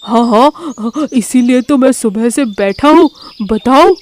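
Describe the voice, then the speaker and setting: A woman voicing a grumbling, hungry lion king in an animated moral story, with drawn-out, sulky delivery. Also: fairly high pitch, natural voice. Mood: eager.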